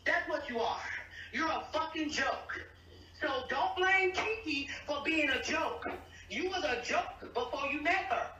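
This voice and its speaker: A woman's voice: high voice, lively intonation, measured pace, bright, forceful tone. An angry middle-aged woman in a heated argument, confrontational, profane and emphatic. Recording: echoey, distant leaked phone-call recording.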